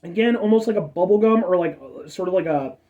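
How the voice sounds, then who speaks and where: A man's voice: high voice, quick pace, recorded from a distance, phone-quality sound. A man in his thirties hosting a casual soda review show, rambling with chatty asides and a slightly stuffed-up nose. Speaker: food review show host.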